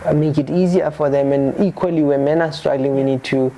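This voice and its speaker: Man, podcast host, soft dark timbre, hesitant and thoughtful phrasing, background noise. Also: a little high, steady tone, fairly quick. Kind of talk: conversational book discussion.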